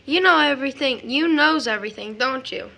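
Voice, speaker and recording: Woman playing a high, bright little boy. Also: expressive, brisk, gravelly, exaggerated voice, rough recording. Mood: sweet, pleading. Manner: childlike grammar and wondering questions. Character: sweet, eager, wondering little boy. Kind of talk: stage drama audio acting.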